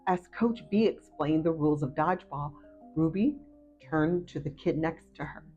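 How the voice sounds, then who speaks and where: A woman reading a children's picture book aloud as a storyteller, with expressive, excited asides to her young listeners. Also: low voice, moderate pace, narrow, tinny audio, faint music behind. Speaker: read-aloud storyteller and host.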